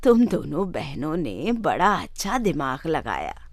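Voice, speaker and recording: A gentle old grandmother from a bedtime tale , as a woman storyteller puts her on. Her voice is lowered from the teller's usual one. She talks in a proud, approving, drawn-out way. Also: very animated, dry recording, heavily acted. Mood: proud, contented.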